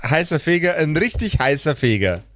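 A man's voice: fairly high pitch, sing-song, normal speed, fairly bright tone, no echo, clean studio sound. A man narrating improvised scenes casually as game master, describing them in colloquial words.

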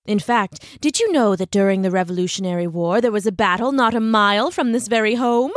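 A woman's voice: medium pitch, a little fast, dry recording, clean studio sound, natural voice. A woman voicing a pompous, condescending male schoolteacher who lectures in a storybook tale.

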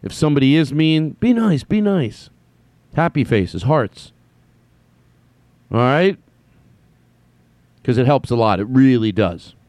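A man's voice: mid-range voice, big pitch swings, slow pace, pauses often, a little mellow, no echo. A man hosting a solo comedy podcast, rambling and correcting himself as he jumps between thoughts. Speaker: podcast host. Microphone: headphones on, studio mic.

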